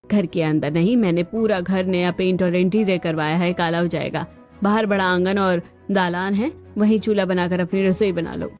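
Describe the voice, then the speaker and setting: A woman voicing a calm, practical mother-in-law in a cartoon family story. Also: lowish voice, normal speed, lightly acted. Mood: firm. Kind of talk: Hindi cartoon story voice acting. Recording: dull lo-fi audio over music.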